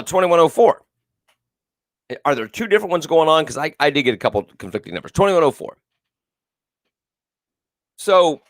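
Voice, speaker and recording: A man's voice: a little high, fairly bright tone, dry recording, studio quality. A male talk show host with a big, carrying voice, giving emphatic, repetitive political commentary and reading viewers' comments aloud. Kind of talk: live political call-in commentary.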